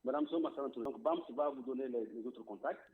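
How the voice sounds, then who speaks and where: A man's voice: mid-range voice, huge pitch swings, fast, vocal fry. A man calls in to a political radio discussion and speaks his mind plainly and emphatically. His voice sits in an ordinary middle register and comes through a thin, tinny phone line. He sometimes circles back over his own words while he finds the next point. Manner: outspoken, emphatic, repeats words while thinking. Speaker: diaspora representative guest.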